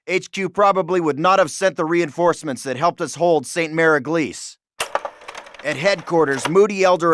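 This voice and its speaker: A man's voice: on the high side, fairly bright tone, slight background noise, lightly acted. An actor playing an American airborne captain in a war game, briefing his troops with an authoritative, clipped, orderly delivery. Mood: proud, brisk.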